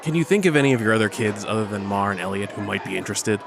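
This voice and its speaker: An actor playing a brisk, commanding celebrity hero who directs a musical in an audio drama, decisive and insistent. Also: male voice, lowish voice, animated, gravelly, a crowd in the background. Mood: brisk, persuasive. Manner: decisive, insistent, talks over objections.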